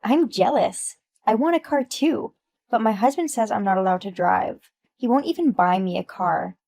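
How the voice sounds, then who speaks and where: A woman's voice: medium pitch, lively intonation, moderate pace, warm tone. A woman voicing Amelia, a vain and jealous woman who speaks in a catty, mocking and pushy way. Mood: catty envy.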